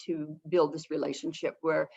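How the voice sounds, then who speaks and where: A woman who is an avian behavior expert, explaining things calmly and gently as a webinar guest and repeating phrases for emphasis. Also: deep voice, normal speed, gravelly. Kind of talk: casual explanatory talk. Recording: dull lo-fi webinar audio.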